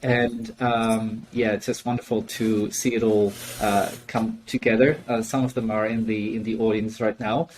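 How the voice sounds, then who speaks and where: A man's voice: on the low side, flat delivery, moderate pace, hardly any pauses, darkish tone, slight background noise. A man hosting an online book launch over a video call, chatty and full of fillers and restarts. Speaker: host and book editor.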